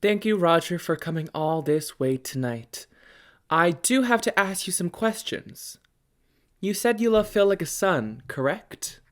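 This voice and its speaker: Smooth, nosy talk-show doctor played by a student. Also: medium pitch, lively intonation, a little slow, natural voice. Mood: composed, probing. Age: teenager or young adult student. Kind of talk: student radio play, talk-show hosting.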